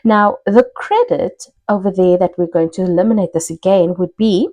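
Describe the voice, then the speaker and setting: A woman's voice: lowish voice, moderate pace, mellow, no echo. A woman lecturer explaining a worked example step by step in a conversational way, often checking in with the listener. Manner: conversational teaching, checks in with "okay" and "right". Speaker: accounting lecturer. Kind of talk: explanatory tutorial, working through an example step by step.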